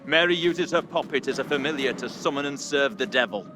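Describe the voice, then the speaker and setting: An actor playing a self-righteous, accusing Puritan reverend in a video game, preaching in sanctimonious, archaic oratory. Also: male voice, medium pitch, a little fast, background noise, lightly acted. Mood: grave, accusing. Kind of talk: dramatic video game acting.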